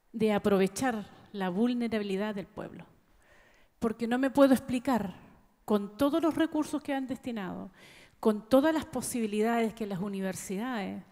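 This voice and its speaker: A woman's voice: medium pitch, darkish tone. Earnest woman teacher in an echoing hall. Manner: earnest and emphatic, builds long rhetorical questions. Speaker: audience member, teacher. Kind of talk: impassioned question from the audience. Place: large conference hall. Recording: reverberant conference hall.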